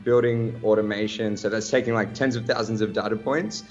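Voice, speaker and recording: Upbeat Australian man, deep and muffled. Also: steady tone, fairly quick, faint music behind. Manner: upbeat, casual, slangy. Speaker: guest, startup founder. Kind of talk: casual radio interview.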